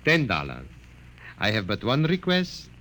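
An actor playing a grandiose, secretive doll maker in an old radio drama, speaking theatrically. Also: male voice, on the low side, wildly swooping pitch, a little slow, fairly bright tone, slight background noise, exaggerated voice. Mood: boastful. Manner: theatrical, boastful, foreign-sounding. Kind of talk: 1950s radio drama acting.

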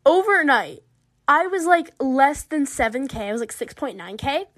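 A woman's voice: sits high, moderate pace. A young woman with a Southern American accent hosting a casual solo podcast, rambling and giggling while she draws. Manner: rambling, giggly, animated, lots of fillers. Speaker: podcast host.

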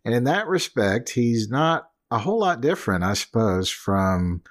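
A man's voice: mid-range voice, big pitch swings, normal speed. A man co-hosting a podcast in a casual chat, with dry, sardonic asides and hedging remarks. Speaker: podcast co-host.

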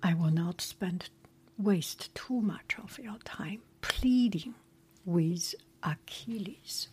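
A woman, not a native English speaker, whispering a relaxed chat and reading a story aloud with many hesitations. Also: fairly low pitch, slow, a little hiss or hum.

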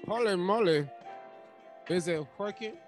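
A young man hosting a podcast in casual chat, slangy and quick to laugh. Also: high-pitched, darkish tone, faint music behind. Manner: loose, slangy, laughs often. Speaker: podcast host.

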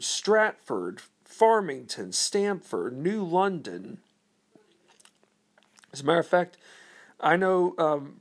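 A man with a slightly high voice talks through a history lesson off the cuff. He hesitates often, filling gaps with ums and uhs. He poses questions to his listeners and then answers them. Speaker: history presenter. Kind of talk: unscripted explanatory talk.

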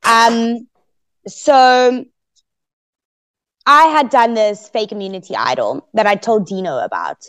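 Lively woman telling her story. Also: on the high side, takes it slowly, long pauses, a little hiss or hum. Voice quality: very loud voice. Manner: lively, expressive storytelling with laughter. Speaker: guest, eliminated contestant. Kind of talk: podcast exit interview.

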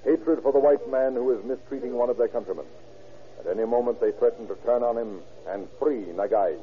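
A man's voice: medium pitch, brisk, slight background noise, natural voice. A man narrating an old radio crime drama in a grave, formal, moralizing manner. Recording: tinny old radio recording.

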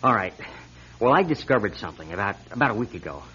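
Insistent lab technician, radio drama, lively swooping pitch, halting then emphatic, muffled old broadcast sound. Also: male voice, a little hiss or hum. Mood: nervous, hesitant. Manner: hesitant, then urgent and emphatic. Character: earnest, insistent male auto lab technician turned whistleblower. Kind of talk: 1970s-style radio drama acting. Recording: dull lo-fi radio recording.

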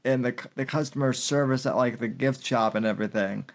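Young adult male podcast host, average pitch, informal storyteller with a stop-start, repetitive delivery. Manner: halting, repetitive, filler-heavy delivery. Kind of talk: casual conversational anecdote.

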